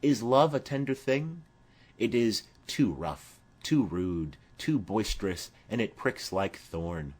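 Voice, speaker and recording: A man's voice: lowish voice, big pitch swings, slow pace, a little mellow, heavily acted. Man playing lovesick, mournful young Romeo. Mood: brooding. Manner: mournful, poetic. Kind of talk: dramatic Shakespeare audiobook reading.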